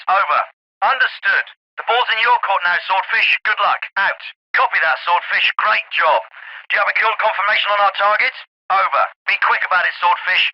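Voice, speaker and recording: An actor playing an authoritative captain with a clipped, commanding delivery in a military video game. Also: male voice, sits high, no echo, lo-fi sound. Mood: focused, urgent. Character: authoritative male Royal Navy special forces captain. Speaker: military officer, squad commander. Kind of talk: scripted military game dialogue.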